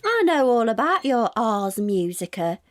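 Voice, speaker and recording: A brazen young bully from Georgian in an audio drama. Her voice is highish and swoops about, drawling out each taunt over faint noise. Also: sing-song, a little slow. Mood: mocking. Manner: mocking, slangy, brazen. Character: brash, taunting young street woman of eighteenth-century London, cruel bully.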